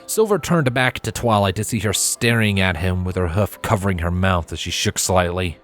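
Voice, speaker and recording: A man's voice: deep voice, normal speed. A man reading a scripted story aloud as its narrator. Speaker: fan fiction narrator. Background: background music.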